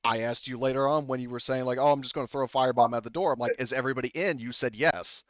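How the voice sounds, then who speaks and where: A man's voice: medium pitch, fairly even intonation, rapid-fire, dry recording. A man running a tabletop game as game master, rambling loosely and laughing often. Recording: dull, lo-fi mic sound.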